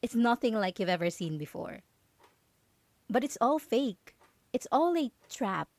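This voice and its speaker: A woman's voice: on the high side, very animated, a little slow, darkish tone, no echo, full character voice. A woman playing a possessive, overprotective roommate in an ASMR roleplay, stammering nervously as she pleads and coaxes. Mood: protective, insistent. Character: possessive, overprotective yandere roommate, clingy and manipulative.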